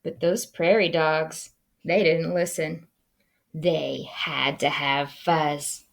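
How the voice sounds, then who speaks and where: A woman who works as a school librarian, reading a children's picture book aloud with expressive, dramatic storytelling. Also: low-pitched, slow, vocal fry.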